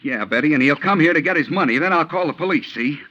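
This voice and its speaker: A man's voice: a little high, lively intonation, quick pace, sharp tone. An American actor playing a scheming, cornered killer with slangy tough-guy talk in a 1950s radio crime drama. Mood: cold, menacing. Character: desperate scheming cornered male killer, sneering. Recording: muffled old radio broadcast recording.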